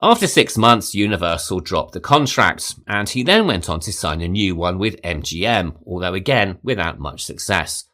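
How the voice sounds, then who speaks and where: Deep-voiced man narrating film history. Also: pushed and bright. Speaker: podcast host. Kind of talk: scripted narration.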